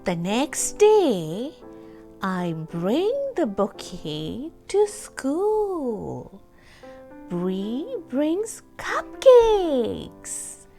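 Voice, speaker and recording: A woman voicing an excitable, confident little girl who proudly and dramatically tells her own storybook tale. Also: high voice, heavily acted. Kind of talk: storybook read-aloud.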